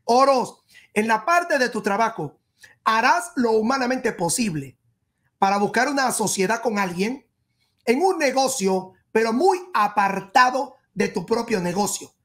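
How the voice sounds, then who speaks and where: A woman with a somewhat high voice reads tarot for the viewer as a horoscope host. She speaks in short, emphatic phrases. She often restates a point in slightly different words. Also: lively intonation, measured pace, fairly bright tone. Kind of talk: spoken tarot reading addressed to the viewer.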